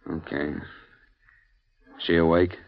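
An actor playing a hard-boiled, wry insurance investigator narrating a 1950s radio drama in a clipped manner. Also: male voice, rumbling low, flat delivery, takes it slowly, full character voice. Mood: tense. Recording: dull old radio recording with music.

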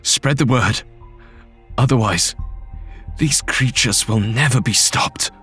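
A man voicing an earnest, shaken male doctor in a horror story, with a halting manner. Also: medium pitch, bright, forceful tone, husky, soft background music, full character voice. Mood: urgent. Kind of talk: acted audio horror story.

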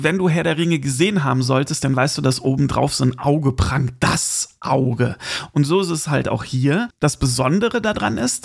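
A man's voice: fairly high pitch, creaky. A man hosting a casual solo podcast, chatting informally and speaking directly to the listener. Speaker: podcast host. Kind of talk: casual solo podcast monologue with gift tips.

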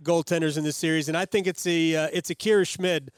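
A man's voice: fairly high pitch, fairly even intonation, fast, clear, resonant tone, dry recording. A man hosting a conversational sports radio interview, speaking into a close studio microphone.

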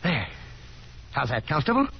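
Affable young man, lively delivery. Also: mid-range voice, moderate pace, vocal fry, soft background music. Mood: breezy, coaxing. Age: young adult. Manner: breezy, friendly, chuckling. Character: affable, easygoing young American gentleman caller. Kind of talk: 1950s radio drama acting. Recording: muffled, noisy vintage radio broadcast.

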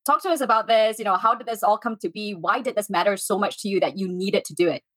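A woman's voice: mid-range voice, quick pace, a bit bright. A woman hosting a podcast, introducing and interviewing in a presenter's style. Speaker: podcast host.

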